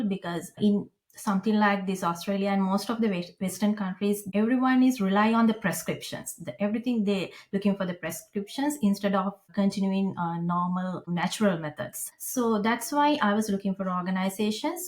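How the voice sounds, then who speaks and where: Sri lankan lady on a podcast, earnest, lots of uh and restarts. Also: mid-range voice. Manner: earnest, halting, many fillers and restarts. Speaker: podcast guest. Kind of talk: personal story in an interview.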